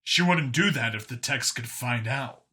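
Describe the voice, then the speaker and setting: A man's voice: mid-range voice, measured pace, bright, forceful tone. A man narrating scripted fiction as an audiobook reader, with dramatic delivery.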